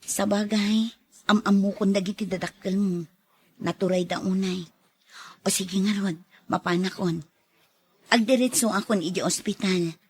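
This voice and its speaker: A woman with an Ilocano accent playing a loyal, concerned confidante in an audio drama, with a husky voice. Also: mid-range voice, steady tone, normal speed, pauses often, a little mellow, close to an everyday voice. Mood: supportive. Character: loyal, concerned female confidante of a troubled man. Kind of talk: Ilocano radio drama acting.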